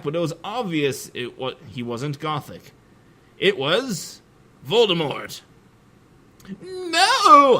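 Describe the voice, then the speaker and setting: A man's voice: mid-range voice, fairly bright tone. A young man giving a comedic reading of fan fiction, breaking in with sarcastic, giggly asides. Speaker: reader.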